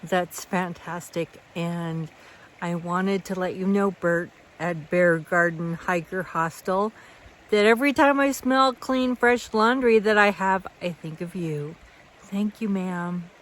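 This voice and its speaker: A woman's voice: on the low side, takes it slowly, a little hiss or hum. A woman vlogging casually on a mountain trail outdoors, rambling and thinking aloud into a handheld camera. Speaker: thru-hiker vlogger.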